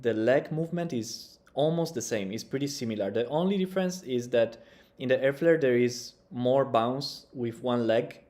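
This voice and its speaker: A young man talks over a video he is watching, relaxed and unhurried, thinking aloud with loose grammar. His voice is mellow and dark, picked up by a desk webcam in a small room. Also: medium pitch. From Italy. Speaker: breaking coach reacting to tutorials. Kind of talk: casual reaction commentary.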